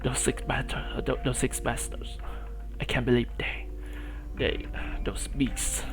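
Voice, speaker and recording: A man's voice: mid-range voice, flat delivery, measured pace, whispered, music in the background. A man with a youthful, boyish voice streaming casually, rambling with many fillers and restarts and laughing often. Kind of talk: casual live-stream commentary.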